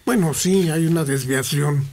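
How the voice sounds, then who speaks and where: A man from Mexico explaining in a slow, halting way on a radio panel. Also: on the high side, a little mellow. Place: radio studio.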